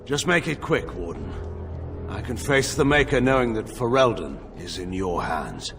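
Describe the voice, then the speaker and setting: An actor playing a proud, weary middle-aged general and stern father in a game, speaking in a gravelly, grave and deliberate way. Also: mid-range voice, big pitch swings. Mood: weary, tender. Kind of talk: dramatic game acting. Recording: loud dramatic music behind.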